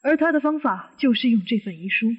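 An actress playing a suspicious middle-aged aunt who questions and reasons step by step in a Chinese audio detective drama. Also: female voice, fairly high pitch, animated, quick pace, slightly rough. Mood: insistent. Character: inquisitive, suspicious middle-aged aunt probing a supposed suicide. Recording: muffled, long hall reverb.

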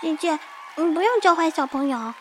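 A woman's voice: sits high, a little fast, slight background noise, natural voice. A woman playing a cheeky, pouty eight-year-old little sister in a scripted radio skit. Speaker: radio co-host.

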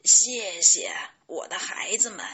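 A woman voicing a kind, weary old grandfather rooster storyteller, slow and sighing, with a melancholy air. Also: mid-range voice, big pitch swings, pushed and bright, muffled recording, heavily acted. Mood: gentle, grateful. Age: older. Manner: slow, sighing, chanting delivery.

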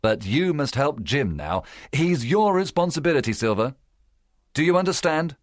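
A man voicing a stern, principled gentleman doctor in an audiobook. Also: a little high, big pitch swings, normal speed, no room sound, clean studio sound, voice pushed up. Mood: grave, careful. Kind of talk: audiobook character acting.

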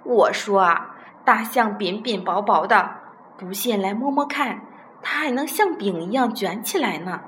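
A tall, smug man in a children's tale, voiced by a woman. He scoffs at others and boasts loudly of knowing best. There is background noise on the recording. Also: medium pitch, animated, unhurried. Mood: mocking, smug. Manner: scoffing, know-it-all.

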